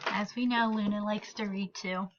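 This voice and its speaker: A woman reading a children's picture book aloud in an expressive, deliberate and emphatic way. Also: medium pitch, muffled recording, background noise. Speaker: read-aloud storyteller.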